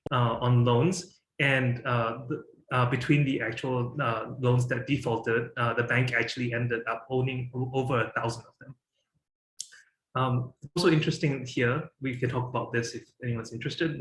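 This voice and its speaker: A man's voice: medium pitch, a bit bright, reverberant, low-quality recording. A man from Singapore in his thirties, giving a presentation and talking with many fillers and restarts. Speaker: guest speaker.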